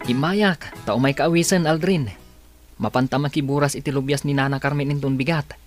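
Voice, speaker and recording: A man's voice: mid-range voice, steady tone, normal speed, music in the background, lightly acted. A man playing a friendly village farm worker in an Ilocano audio drama. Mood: friendly, inviting.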